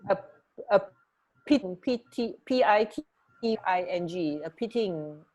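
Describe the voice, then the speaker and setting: A woman co-hosting a radio show in casual, halting, giggly banter. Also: medium pitch, expressive, a little mellow. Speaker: radio co-host.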